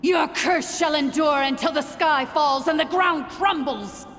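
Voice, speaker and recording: Imperious, slow-speaking villainous machine queen. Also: sits high, a bit bright, long reverb tail, soft background music. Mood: outraged fury. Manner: imperious, scornful, archaic grand speech. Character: vengeful, contemptuous female ancient machine sovereign with claws. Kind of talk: dramatic video game voice acting.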